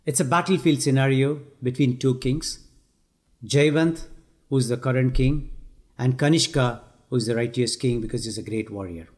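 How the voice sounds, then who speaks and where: A man with Tamil as his first language, a voice actor, introducing and narrating an audiobook passage in a clear, measured way. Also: mid-range voice, a little mellow.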